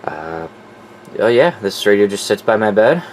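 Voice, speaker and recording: A man's voice: fairly low pitch, big pitch swings, moderate pace, a little hiss or hum, low-quality recording. A man presenting his collection in a casual, off-the-cuff, informal way, sometimes profane. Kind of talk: casual off-the-cuff show-and-tell.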